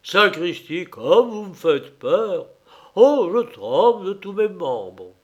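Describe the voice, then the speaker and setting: Man doing a loud jeering masked drunk. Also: high voice, sing-song, takes it slowly, husky, pitched above the performer's own voice, full character voice. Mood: rowdy. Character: rowdy drunk masked man, bossy and mocking.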